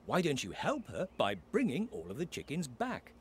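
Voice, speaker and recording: Very expressive children's narrator. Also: male voice, moderate pace, slight background noise. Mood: friendly guiding. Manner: clear, encouraging, highly expressive. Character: friendly storybook narrator guiding young players. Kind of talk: scripted children's game narration.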